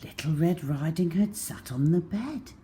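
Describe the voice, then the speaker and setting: A woman telling a children's story in an expressive storybook narration style. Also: on the low side, a little slow, a little hiss or hum.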